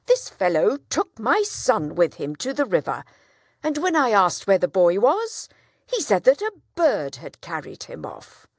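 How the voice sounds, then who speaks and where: A woman voicing a dishonest male town trader who speaks loudly and accusingly. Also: mid-range voice, wildly swooping pitch, unhurried, vocal fry, dry recording, studio quality, heavily acted. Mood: indignant.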